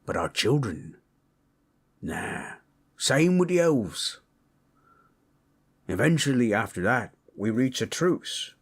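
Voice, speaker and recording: A man voicing a gentle, proud orc warrior in an intimate audio roleplay, telling tales in a folksy, rough-hewn, husky way. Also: medium pitch, big pitch swings, slow, long pauses, heavily acted. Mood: grim, bitter. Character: gentle, proud male orc warrior storyteller, affectionate, teasing. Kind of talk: intimate comfort roleplay storytelling.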